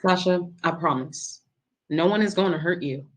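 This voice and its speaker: A woman's voice: lowish voice, moderate pace, close to an everyday voice, rough recording. A woman playing a caring, reassuring nurse on evening rounds in a dramatic table read. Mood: gently supportive.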